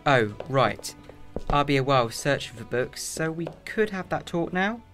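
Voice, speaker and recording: A man's voice: a little high, lively intonation, moderate pace, fairly bright tone, soft background music. A man acting a lonely, regretful immortal in an audio drama, gloomy and understated. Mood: awkward, apologetic.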